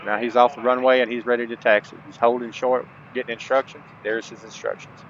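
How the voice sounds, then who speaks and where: A man's voice: medium pitch, little pitch movement, brisk. A man hosting a gameplay tutorial, talking casually to viewers with folksy asides and reading out instructions. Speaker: host of a gaming channel. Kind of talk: gameplay tutorial commentary, partly reading on-screen instructions aloud. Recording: dull lo-fi mic, faint background noise.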